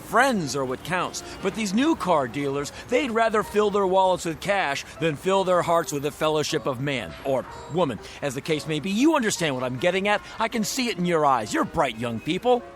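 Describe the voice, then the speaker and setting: High-pitched, pushy used-car huckster. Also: male voice, big pitch swings, normal speed, hardly any pauses. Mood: indignant, persuasive. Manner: bombastic, wheedling, florid sales patter. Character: pushy, glib used-car salesman, a folksy fast-talking huckster. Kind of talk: radio drama acting.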